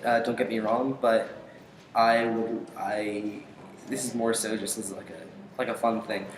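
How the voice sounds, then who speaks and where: A young man giving casual interview answers in a hesitant, halting way, pausing often. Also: fairly low pitch, fairly even intonation. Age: young adult. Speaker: interview guest, aspiring voice actor. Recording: echoey room with faint noise.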